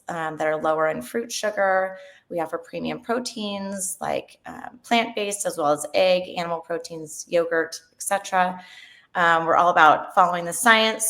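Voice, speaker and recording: A woman's voice: on the low side, fairly bright tone. Enthusiastic woman in her forties. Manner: earnest, enthusiastic, with fillers. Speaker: registered dietitian, company founder. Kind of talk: webinar panel talk.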